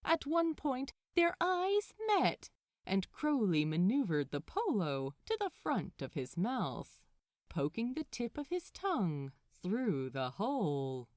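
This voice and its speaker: A woman reading a story aloud as an expressive scripted narrator. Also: mid-range voice, slow, no room sound, studio quality. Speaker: fan fiction podfic narrator.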